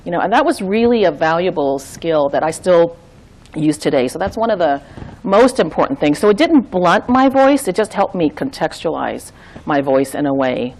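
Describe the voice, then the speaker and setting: A woman's voice: lowish voice, big pitch swings, moderate pace, darkish tone, poor audio. A woman who trains dialogue facilitators, talking earnestly and reflectively, thinking aloud with restarts and frequent "right?". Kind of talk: reflective conversational talk.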